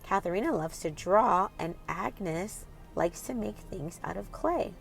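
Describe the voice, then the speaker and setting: A woman's voice: mid-range voice, animated, a little slow, gravelly, faint music behind. A woman hosting a children's read-aloud, reading expressively and putting questions directly to young listeners in an instructive way.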